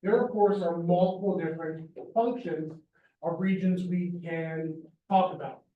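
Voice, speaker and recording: A high-pitched man lectures with playful energy, tossing in jokes and quick checks with the class. His voice rings around a roomy hall and sounds set back from the mic. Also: recorded from a distance, phone-quality sound. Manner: animated, joking, says "y'all" and "right?". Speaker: veterinary neurology lecturer. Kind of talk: university lecture. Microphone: handheld or lapel microphone.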